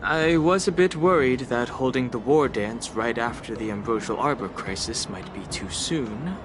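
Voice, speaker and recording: A man voicing a calm, reserved, thoughtful young traveler in a video game, measured and understated. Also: mid-range voice, natural voice. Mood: calm, reflective. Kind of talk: English video game voice acting. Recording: game music behind the voice.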